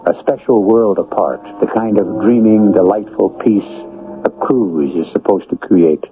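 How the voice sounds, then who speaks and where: A man with an American accent hosting a radio drama, narrating in a measured, ornate and ominous storytelling way. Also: fairly low pitch, over music. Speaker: host and narrator. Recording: tinny old radio broadcast.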